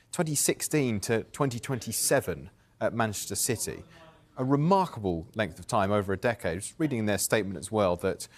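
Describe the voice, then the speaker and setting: A male news presenter running a live interview, measured as he reads details and puts questions. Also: fairly low pitch.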